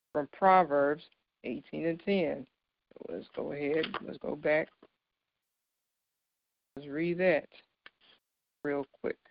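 A woman in her thirties with a deep, low voice, giving an informal, earnest devotional sermon and laughing lightly between points. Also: gravelly, highs cut off. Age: 30s. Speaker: speaker giving a devotional talk.

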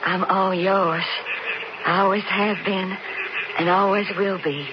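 An actress playing a devoted, defiant young rancher's daughter with an American accent, romantic and theatrical, in a radio drama. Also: female voice, mid-range voice, fairly even intonation, takes it slowly, lightly acted. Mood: adoring. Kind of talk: 1970s radio drama acting. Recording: noisy, dull old radio broadcast.

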